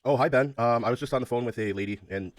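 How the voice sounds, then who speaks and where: A man making a phone call, speaking in a halting, hesitant way, polite but persistent. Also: medium pitch. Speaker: caller, self-described media interviewer. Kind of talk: phone conversation.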